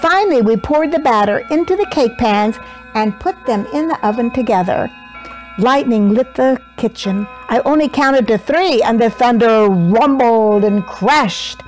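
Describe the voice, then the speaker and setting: An older woman reads a picture book aloud. Her voice sits fairly high and she takes her time, giving the narration a deliberate, dramatic storyteller's delivery. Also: animated, a little mellow, faint music behind, rough recording. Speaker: children's storybook reader. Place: small cosy living room indoors. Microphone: small clip-on lapel mic.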